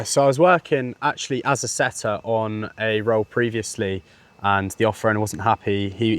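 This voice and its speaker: A man's voice: lowish voice, fairly bright tone. A man of twenty, talking as an interview guest, confident and easygoing, with plenty of "you know". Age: 20s. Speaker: interview guest, sales closer. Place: villa, indoors.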